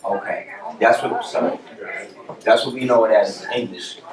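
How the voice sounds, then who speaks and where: A man speaking to high school students in a classroom, coaxing answers out of them with brisk, streetwise questions. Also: fairly even intonation, crowd noise. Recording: roomy, distant, noisy classroom recording.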